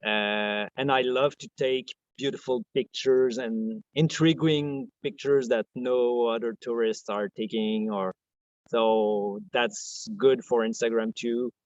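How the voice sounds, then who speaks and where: A man's voice: medium pitch, steady tone, takes it slowly, dry recording, muffled recording. Hesitant man with a French Canadian accent. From Quebec, Canada. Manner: hesitant, many restarts and fillers, soft-spoken introvert. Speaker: podcast guest. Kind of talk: casual interview chat.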